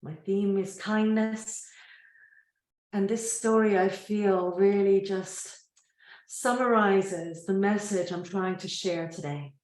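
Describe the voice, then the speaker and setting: An echoey room carries the voice of a woman leading a guided relaxation. She speaks at a middle pitch in a gentle, soothing manner. Her phrases are unhurried and set apart by long pauses. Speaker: yoga nidra teacher.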